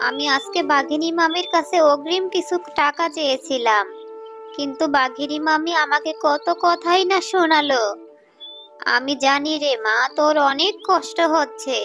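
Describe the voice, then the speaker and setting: A woman voicing a gentle, careworn mother fox who is poor and tender, in cartoon story dialogue. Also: sits high, moderate pace, faint music behind. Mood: sorrowful. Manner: tender, sorrowful. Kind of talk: animated children's story dialogue.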